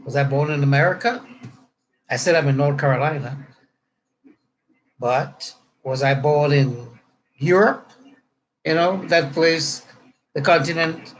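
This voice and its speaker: Middle-aged Trinidadian man, slightly high voice. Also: unhurried, pauses often, slight background noise, rough recording. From Trinidad and Tobago. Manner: rambling, joking, spells out words to clarify. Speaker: pastor. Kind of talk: casual chat to online viewers. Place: a room at home.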